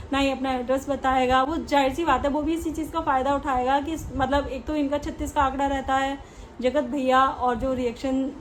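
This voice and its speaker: A woman with a high-pitched voice gives casual opinion commentary as a reaction host. She explains things conversationally, slipping English words into her talk. A faint noise sits behind her voice. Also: rough recording.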